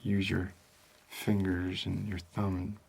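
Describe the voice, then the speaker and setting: A man playing a laid-back, shady homicide detective in an ASMR roleplay, halting, rambling and deadpan. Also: a little hiss or hum, full character voice, poor audio. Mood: deadpan, musing. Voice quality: low, creaky.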